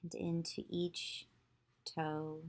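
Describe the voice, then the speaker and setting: Very slow, low-voiced woman guiding meditation. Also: monotone, slight background noise. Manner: slow, soothing, spaced instructions. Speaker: yoga teacher. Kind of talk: guided visualization meditation.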